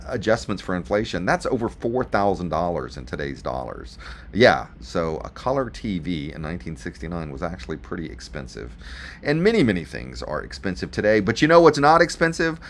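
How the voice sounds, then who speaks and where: A man presenting a radio show in a folksy, chatty showman's manner, addressing listeners directly with dramatic repeats. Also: fairly low pitch.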